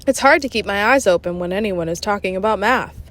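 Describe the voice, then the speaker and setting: A woman reading a children's book aloud in an expressive, conversational narrating style. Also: mid-range voice, fast. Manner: animated, conversational. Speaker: storybook reader.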